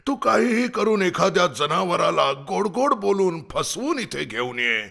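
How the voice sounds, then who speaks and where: A man voicing a hungry, injured lion king in a children's animal story, gravelly and regal, giving commands. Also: sits high, sing-song, a little slow, pushed and bright, full character voice. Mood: weary, commanding.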